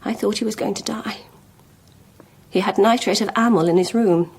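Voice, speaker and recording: An actress playing a proud, lovelorn schoolmistress in a period radio drama, speaking frankly and deliberately with emotional intensity. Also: female voice, mid-range voice, vocal fry, a little mellow, slightly rough. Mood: confessing. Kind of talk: BBC radio drama acting.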